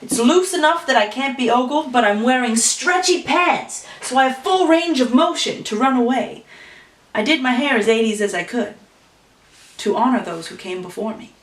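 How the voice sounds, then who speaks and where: A woman's voice: a little high, normal speed, recorded from a distance. Playful chatty woman in an echoey room. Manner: playful, rambling, animated asides. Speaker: vlogger telling an improvised story. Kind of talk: casual chatty to-camera talk.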